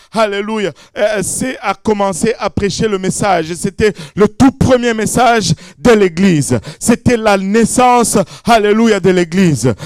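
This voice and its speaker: A man from Congo preaching a Pentecostal sermon, fervent, with frequent alleluias and shouted climaxes. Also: high-pitched, dry recording. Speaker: pastor.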